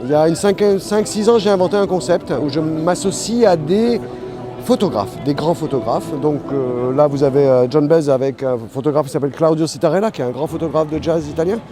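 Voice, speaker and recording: A man's voice: a little high, a little fast. A man being interviewed as a painter, talking casually and enthusiastically outdoors at a festival. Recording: music behind, outdoor event.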